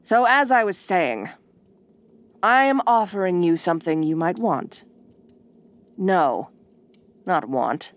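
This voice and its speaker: A woman plays a proud ice queen who rules every sentence. She speaks slowly and formally, with sweeping, expressive intonation. Her manner is bossy and condescending, with a guarded fondness underneath, in an intimate audio roleplay addressed to the listener. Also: fairly low pitch. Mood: commanding, guarded. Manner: imperious, formal, drawn-out condescending phrasing.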